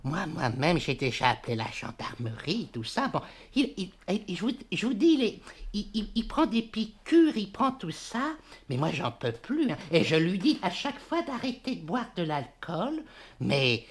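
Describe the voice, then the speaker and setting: A woman's voice: fairly low pitch, very animated, moderate pace, breathy edge. Woman acting a flustered elderly lady, wildly swooping voice. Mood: overwhelmed. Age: elderly, as played. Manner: hesitant, stammering, sighing, repetitive. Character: exasperated rambling 82-year-old woman fed up with her brother. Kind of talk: prank phone call. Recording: faint background noise on phone call.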